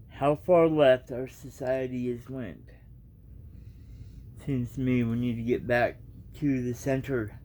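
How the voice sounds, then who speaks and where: A man reading aloud and giving his reaction as a vlogger, in an informal, halting, rambling way. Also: medium pitch, a little mellow.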